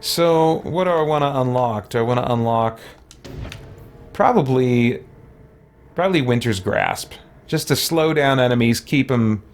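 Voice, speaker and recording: A man giving casual gameplay commentary, musing and talking to himself as he goes. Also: medium pitch. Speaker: gaming let's-play host.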